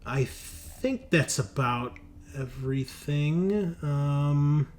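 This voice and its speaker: A man running a tabletop horror game as game master, improvising narration in a halting, conversational way and thinking aloud. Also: a little high. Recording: noticeable background noise.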